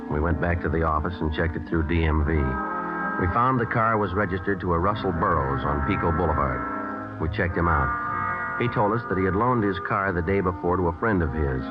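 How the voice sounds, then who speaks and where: Male actor as terse American radio detective, very deep and matter-of-fact, vintage muffled broadcast. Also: close to an everyday voice. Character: calm, businesslike male police sergeant narrating his case. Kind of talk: 1950s radio police drama, clipped narration. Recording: dull vintage radio broadcast, loud music.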